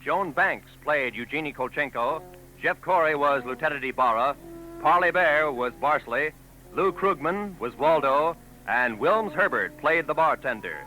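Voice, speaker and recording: A man announcing credits and commercials on a 1940s network radio broadcast in a crisp, formal delivery. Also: on the high side, lively intonation, unhurried, sharp tone, rough recording. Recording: old radio broadcast with faint music.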